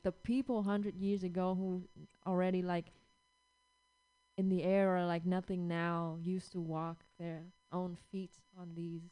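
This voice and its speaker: A woman with a Vietnamese accent, a singer guesting on a radio show, speaking in a halting way while searching for words. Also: fairly low pitch, mellow, rough recording. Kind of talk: casual interview chat.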